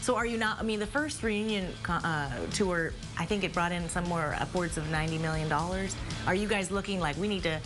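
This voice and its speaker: A woman's voice: fairly low pitch, fairly quick, few pauses. A woman interviewing a guest, asking questions in a chatty way and laughing easily. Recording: music playing underneath.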